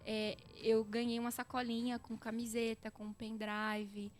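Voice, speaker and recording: Brazilian woman telling stories, fairly high voice. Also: a little slow, soft background music. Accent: Brazilian Portuguese. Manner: lively storyteller, often addresses listeners directly. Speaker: podcast guest. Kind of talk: casual chat.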